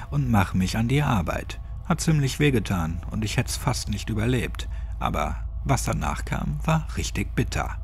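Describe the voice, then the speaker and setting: A man's voice: medium pitch, creaky. A man plays an eccentric old gardener in a horror audiobook. He rambles in a folksy, colloquial way, with a grim cheerfulness. A faint noise sits behind the voice. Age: elderly. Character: quirky old retired gardener, blind, grimly cheerful survivor.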